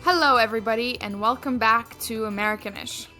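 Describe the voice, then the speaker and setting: A young woman co-hosting a casual podcast, her voice bright and forceful. She tells stories in an animated way and often restarts her sentences with fillers. She grew up in Egypt. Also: a little high, brisk, soft background music. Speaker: podcast co-host.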